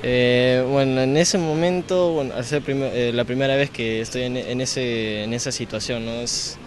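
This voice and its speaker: A man's voice: mid-range voice, steady tone, normal speed, music in the background. A young man with a Peruvian accent, an athlete talking conversationally in an interview. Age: young adult. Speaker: bowling athlete. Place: large indoor bowling alley. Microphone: handheld interview microphone. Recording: busy bowling alley chatter.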